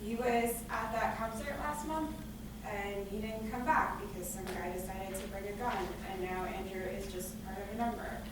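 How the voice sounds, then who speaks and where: A woman's voice: medium pitch, fairly even intonation, moderate pace, close to an everyday voice. An actress playing an anxious, stubborn young woman in a staged play reading, emphatic and rambling as she frets about catastrophe. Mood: subdued, anguished. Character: anxious young woman, dramatic, stubborn, afraid to leave her room. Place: live staged reading in a reverberant room. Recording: echoey room from far off, noisy.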